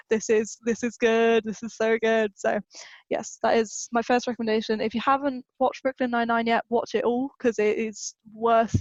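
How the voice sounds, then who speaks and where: A woman's voice: a little high, no room sound. A woman chatting casually on a podcast panel, informal and emphatic, recorded a little dully. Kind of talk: casual chat, giving recommendations. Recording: slightly dull, dry recording.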